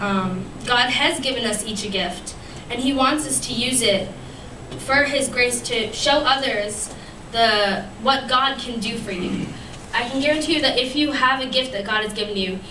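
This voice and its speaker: A woman's voice: on the high side, bright, forceful tone. Earnest young woman giving a church devotional. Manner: earnest, many ums and restarts. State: recovering from a lost voice. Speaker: youth speaker at church fellowship. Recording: echoey room, distant mic, noisy.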